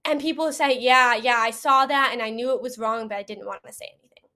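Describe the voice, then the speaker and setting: A woman's voice: a little high, a little fast, pushed and bright. A young adult woman speaking casually and earnestly as an interview guest.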